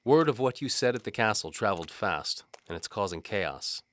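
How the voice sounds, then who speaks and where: A man's voice: fairly low pitch, animated, normal speed, pitched above the performer's own voice. A man playing a blunt, exasperated, commanding medieval sheriff in an audio drama. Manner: commanding, loud. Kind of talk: audio drama character acting.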